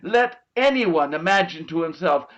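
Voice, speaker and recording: A man playing an earnest eighteenth-century British parliamentarian, delivering a formal, measured abolition speech as a dramatic monologue. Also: a little high, animated, a bit bright. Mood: grave, impassioned. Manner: solemn, measured, declamatory. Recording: muffled, dull video-call audio.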